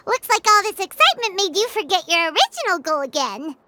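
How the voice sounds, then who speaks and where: A woman voicing a tiny childlike fairy companion in a video game, squeaky, cutesy, excitable and warmhearted. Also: moderate pace, full character voice. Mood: playful, eager. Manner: third-person speech, expressive, cutesy.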